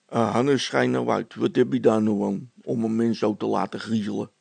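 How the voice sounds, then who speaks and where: Guy voicing a jumpy small-town policeman in a story, raspy, agreeable, hesitant, kinda whiny. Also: lowish voice, flat delivery, moderate pace, darkish tone, no echo, full character voice. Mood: nervous, plaintive. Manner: colloquial, hesitant, plaintive. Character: jittery, simple, agreeable village policeman.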